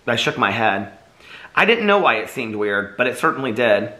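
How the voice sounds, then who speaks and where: A man's voice: medium pitch, very animated, moderate pace, pushed and bright, poor audio. A man who is slightly losing his voice chats casually, then reads his own novel aloud in an unpolished way with small restarts. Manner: chatty, friendly intro, unpolished read-through with small restarts.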